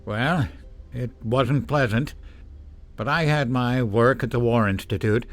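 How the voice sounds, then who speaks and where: A man's voice: medium pitch, a little slow, soft background music, close to an everyday voice. An actor playing an anxious, evasive middle-aged father and war official in a radio drama, speaking hesitantly and with reserve. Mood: weary, reflective.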